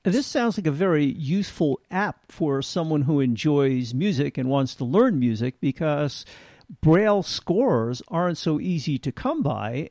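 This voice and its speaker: Slightly high-voiced male interviewer. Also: gravelly, a little mellow, no room sound, clean studio sound. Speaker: interviewer, host. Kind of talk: interview questions.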